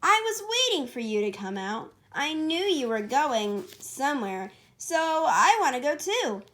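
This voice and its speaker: An excitable, high-voiced girl hedgehog played by a woman from a storybook comic dub. She is eager, stubborn and lovestruck, and speaks with plenty of emphasis. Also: a little slow, exaggerated voice. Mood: eager, defiant.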